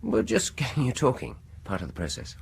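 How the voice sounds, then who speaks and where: An actor playing a probing, falsely polite British dentist in a radio horror drama, with a husky voice and an insinuating, deliberate manner. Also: male voice, medium pitch, huge pitch swings, heavily acted. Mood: slyly needling. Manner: deliberate, insinuating, falsely soothing, chuckling at his own remarks.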